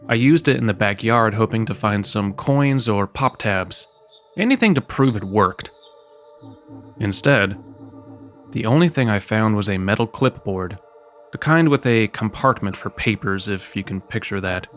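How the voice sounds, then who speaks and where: A man's voice: on the low side, fairly bright tone. A man narrating a scripted first-person creepy story.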